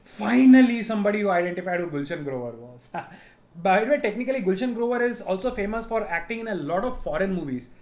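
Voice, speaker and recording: High-voiced Indian man teaching a quiz. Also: expressive, quick pace, darkish tone, roomy sound. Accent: Indian English. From Tamil-speaking family, raised in Gujarat. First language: Tamil. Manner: repeats questions and explains terms patiently, laughs easily. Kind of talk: live online class, answering student chat.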